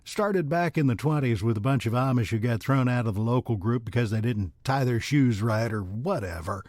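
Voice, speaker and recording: Guy playing deadpan shopkeeper, folksy and long-suffering, medium pitch, dry close sound. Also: no echo, close to an everyday voice. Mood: deadpan explaining. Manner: deadpan, folksy, measured explanations. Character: dry, level-headed, long-suffering small-town shopkeeper, male. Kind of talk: comedic audio drama dialogue.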